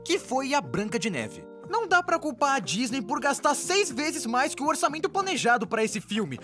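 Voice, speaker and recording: An excitable cartoon explainer narrator with a Brazilian accent, performed by a woman. The voice sits high and moves in lively swoops, with faint music underneath. The delivery is scripted yet informal, wry and exaggerated. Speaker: narrator of an animated explainer video.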